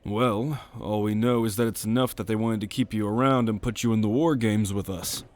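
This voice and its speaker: A man's voice: on the low side, fairly even intonation, a little fast, a little mellow, voice pushed down, natural voice. A man voicing a sardonic, foul-mouthed young student in an exasperated drawl for an ASMR roleplay audio drama. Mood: wry disbelief. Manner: exasperated, sarcastic, swearing drawl.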